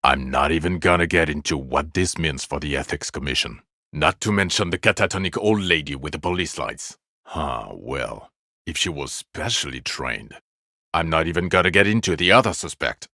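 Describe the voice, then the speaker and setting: Very deep-voiced, bitter police detective. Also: male voice, pushed and bright, no room sound, clean studio sound, full character voice. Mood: bitter, sarcastic, then low, sardonic. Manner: sardonic, accusatory, profane. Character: bitter, exasperated male police detective berating his drunk partner. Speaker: video game voice actor. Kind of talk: dramatic game dialogue, scolding.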